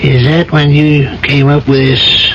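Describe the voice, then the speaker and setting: An actor playing an amiable, unhurried judge in a 1970s radio drama. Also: male voice, fairly high pitch, level tone, a bit bright, slight background noise. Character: genial, unhurried male judge at home. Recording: muffled vintage radio.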